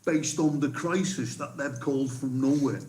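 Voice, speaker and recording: Warm-voiced Liverpool man asking pointed questions. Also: medium pitch, moderate pace. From Liverpool, England. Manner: pointed questioning, stumbles and repeats words. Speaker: local council-watch group member. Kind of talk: casual group discussion. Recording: roomy, from a distant mic.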